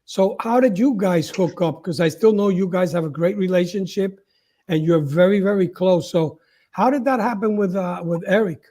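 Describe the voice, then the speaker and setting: A man's voice: high voice, moderate pace, mellow. A man interviewing a guest, asking long, hesitant, rambling questions. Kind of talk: casual interview talk.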